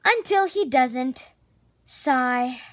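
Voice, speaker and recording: A woman with a high voice reads a children's storybook aloud in a very expressive way. Her pitch swings widely and she takes her time, stretching key words theatrically. The sound is close and dry. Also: extremely slow, no echo. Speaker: picture book narrator.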